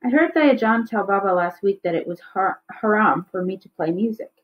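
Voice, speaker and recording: A woman's voice: mid-range voice, lively intonation, normal speed, a little hiss or hum, natural voice. A woman voicing an earnest, questioning young schoolgirl in a storybook. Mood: puzzled. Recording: slightly dull, lo-fi recording.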